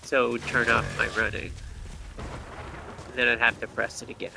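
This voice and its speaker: A man's voice: medium pitch, level tone, moderate pace, vocal fry, noisy background. A man giving casual gameplay commentary, explaining things and thinking aloud as he plays.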